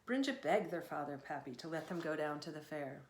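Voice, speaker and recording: A woman author reading narrative prose from her book in a measured, expressive storytelling manner. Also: sits low. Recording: echoey room sound.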